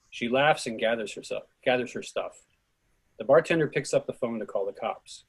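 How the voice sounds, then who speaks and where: Husky man reading stage directions. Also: mid-range voice, low-quality recording. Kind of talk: scripted narration at a readers theatre.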